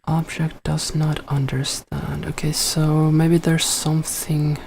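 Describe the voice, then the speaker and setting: Finland-Swedish man, Finnish native, slow unhurried live-coding chat, halting and thinking aloud. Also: on the high side, completely flat delivery, creaky, darkish tone, slight background noise. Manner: hesitant, thinks aloud with many fillers. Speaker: live coder answering chat. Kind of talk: casual thinking-aloud commentary.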